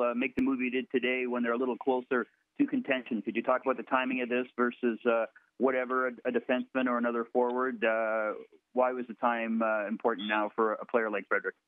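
A man's voice: medium pitch, level tone, fast. A man, a newspaper sports reporter, asking questions on a press call by telephone. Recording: dry, narrow phone line.

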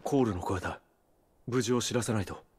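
Low, terse mercenary leader. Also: male voice, fairly quick, husky. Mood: grave. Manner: terse, commanding. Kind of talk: Japanese video game dramatic acting.

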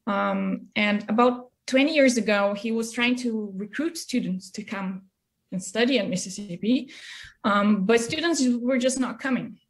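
A woman's voice: medium pitch, normal speed. Brazilian-accented woman telling her story. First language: Portuguese. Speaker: interview guest, music educator. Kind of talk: conversational personal storytelling. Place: small indoor room, video call. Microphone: computer mic on video call.

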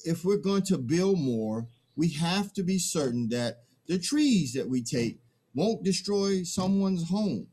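A man's voice: on the high side, expressive, takes it slowly, darkish tone, husky, heavily acted. A middle-aged man in a play reading, playing a conscientious villager who pleads earnestly and hesitantly for the old ways. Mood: earnest, troubled. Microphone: computer mic on video call.

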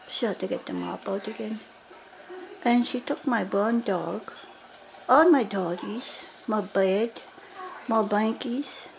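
A woman's voice: a little high, low-quality recording. A woman voicing an anxious young male dog who talks in a whiny, childlike, pleading way. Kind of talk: pet voice-over monologue.

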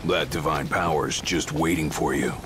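An actor playing a gruff bodyguard in a fantasy game, blunt, casual and teasing. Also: male voice, rumbling low, steady tone, moderate pace, noisy background.

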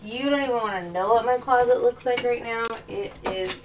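A woman's voice: a little high, moderate pace. A woman in her thirties with a faint Arkansas accent rambles through a casual livestream, poking fun at herself as she shuffles things around her bedroom. She drifts on and off a webcam mic, and a faint hiss sits under her voice. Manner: rambling, self-mocking, talks while moving things around. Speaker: livestreaming doll collector. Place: small bedroom full of dolls. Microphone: webcam mic, often off-mic moving around.

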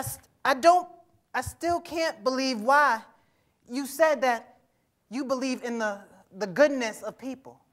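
A defiant, wounded teenage boy played by a young man speaks from a stage in a high voice. His delivery is folksy and halting, with emphatic bitterness, as in a dramatic play reading. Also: fairly bright tone. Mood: wry, skeptical. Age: young adult. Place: theatre-like stage in a conference hall. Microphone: lapel.

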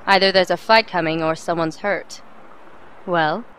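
A woman playing a tough, brisk fighter and mentor, blunt and commanding, in a fantasy audio drama. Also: on the low side, a bit bright, slight background noise. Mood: brisk, probing.

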